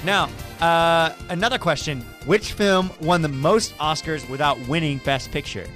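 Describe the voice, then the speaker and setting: A man's voice: fairly high pitch, unhurried, fairly bright tone. Casual male radio host over faint music.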